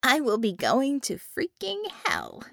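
A brash female team leader from an audiobook adventure, acted out by a woman narrator. Her voice is lively and fairly high, swinging widely as she exclaims. She is impatient and sardonic, driving each point home. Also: slow pace, creaky, dry recording, clean studio sound, exaggerated voice. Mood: dawning excitement.

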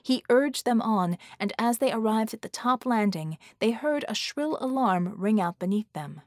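A woman's voice: on the high side, expressive, normal speed, darkish tone, no echo, studio quality. A woman reading an action story as an audiobook narrator, in a dramatic scripted style.

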